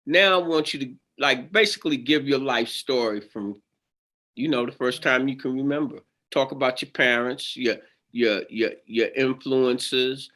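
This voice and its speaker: A man's voice: medium pitch, unhurried, bright, forceful tone. A man hosting an arts segment, asking long interview questions with many fillers and repeated words. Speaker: interviewer, show host.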